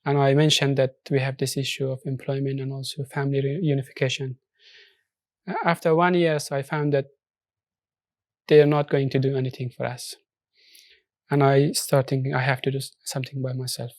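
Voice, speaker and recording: A man from Afghanistan whose first language is Persian, speaking in a studio interview with earnest, halting English full of restarts. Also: mid-range voice, level tone, no room sound. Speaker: social enterprise founder.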